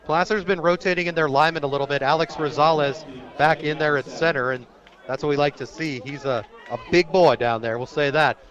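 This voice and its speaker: A man with an American accent and a fairly high voice calls football live for radio. He describes each moment of the action as it unfolds, from outdoors at the stadium. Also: fairly even intonation, a little fast, fairly bright tone, muffled recording. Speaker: play-by-play sports announcer.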